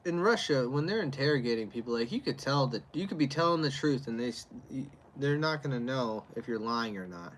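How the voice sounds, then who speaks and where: A man's voice: medium pitch, animated, a little fast, few pauses, a little hiss or hum, poor audio. A man livestreaming outdoors in chilly air, rambling casually and answering his chat in a loose, plain-spoken way. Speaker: livestreamer reviewing beer.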